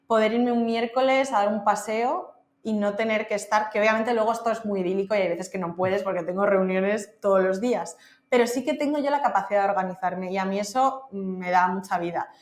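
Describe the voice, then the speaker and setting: A woman's voice: mid-range voice, a little fast, echoey room. A woman with a Spanish accent from Spain, a podcast guest talking candidly and casually, with lots of fillers. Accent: Spain, Castilian Spanish. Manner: candid, chatty, many fillers like "o sea" and "en plan". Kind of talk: casual interview conversation.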